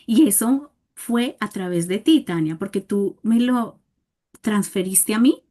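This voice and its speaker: A woman coach speaks on a panel discussion. Her voice is mellow and dark-toned, and she talks in an earnest, reflective way. She sounds like she is sharing a personal experience with people she knows. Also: mid-range voice, expressive, measured pace. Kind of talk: panel conversation.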